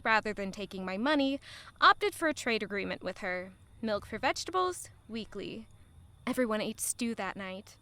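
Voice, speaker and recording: High-voiced, earnest royal woman. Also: fairly bright tone. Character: kind, earnest royal woman helping common folk. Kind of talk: audio drama acting and reflective narration.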